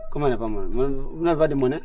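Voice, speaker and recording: A man playing a shopkeeper who fires off quick questions. His voice is mid-pitched and very fast. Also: warm tone, dull audio, a little hiss or hum, lightly acted. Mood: brisk. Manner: brisk, questioning.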